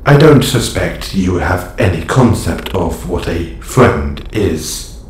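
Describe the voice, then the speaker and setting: A man playing a calm, formal robot superhero with quiet menace, speaking in stiff, precise phrases in an audio roleplay. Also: low voice, takes it slowly. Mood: formal, guarded. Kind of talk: scripted audio roleplay acting. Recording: echoey, faint background ambient noise.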